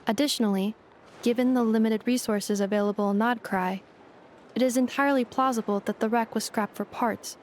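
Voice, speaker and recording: Actress as a precise, higher-pitched robot girl. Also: fairly even intonation, brisk, a little hiss or hum, lightly acted. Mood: analytical. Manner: formal, precise, analytical. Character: polite, literal-minded young female robot helper. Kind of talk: scripted game dialogue.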